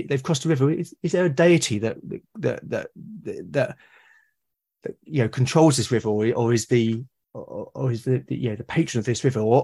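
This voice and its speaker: A man's voice: mid-range voice, darkish tone, slightly rough. A British man, a podcast guest, talking in a reflective, casual way and often restarting and repeating words mid-sentence. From Britain.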